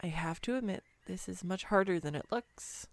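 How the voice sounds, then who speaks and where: A woman playing a clumsy, excitable young woman on a first date in a roleplay audio, with a bubbly, hesitant, playfully teasing manner. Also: on the low side, normal speed, dry recording. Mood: fond, amused. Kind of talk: scripted girlfriend roleplay audio, intimate one-sided dialogue.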